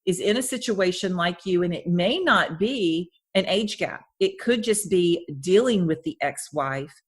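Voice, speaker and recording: A woman's voice: fairly low pitch, animated, measured pace, husky. A woman in her fifties hosting a podcast interview, chatting in a rambling, friendly way with frequent restarts and easy laughter. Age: 50s. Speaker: podcast host. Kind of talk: casual interview chat.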